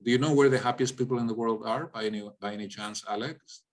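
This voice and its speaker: Male economist from Latin America, medium pitch, explanatory informal lecture delivery, frequently checks listeners are following. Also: steady tone, fast talker. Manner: explanatory, often checks in with "right?". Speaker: economist, guest speaker. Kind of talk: informal lecture with audience questions.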